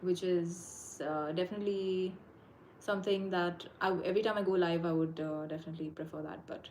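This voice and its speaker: Brief: young woman, fairly low voice, casual livestream chat, hesitant with frequent fillers, faint room hum. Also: steady tone, a little fast, a little mellow, poor audio. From India. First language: Konkani. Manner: hesitant, many fillers and restarts. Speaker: livestream host. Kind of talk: casual live Q&A. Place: indoors, abroad while traveling.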